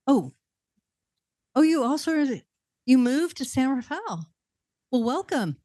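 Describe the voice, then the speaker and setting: A woman's voice: high-pitched, very animated, slow, mellow, no room sound, clean studio sound. A woman hosting and moderating a panel, chatty and correcting herself along the way. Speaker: event host, moderator.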